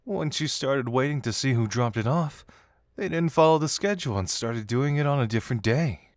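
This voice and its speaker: A man's voice: mid-range voice, lively intonation, normal speed, no echo, studio quality, lightly acted. A man acting a playful, teasing young male detective in an audio roleplay, musing theatrically to the listener. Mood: teasing, intrigued. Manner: teasing, theatrical, musing hums and soft chuckles.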